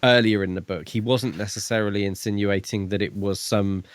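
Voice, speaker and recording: A man's voice: lowish voice, steady tone, fairly quick, clear, resonant tone, no room sound. A man co-hosting a podcast, chatting casually and informally about a book. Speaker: podcast co-host. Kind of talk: casual book discussion chat.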